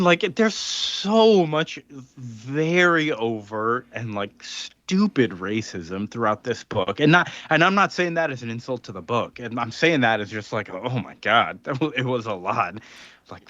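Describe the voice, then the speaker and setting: A man's voice: mid-range voice, animated, a little slow, sharp tone, no echo, muffled recording. A man casually recapping a comic book plot on a podcast, rambling with filler words and little laughs. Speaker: podcast co-host.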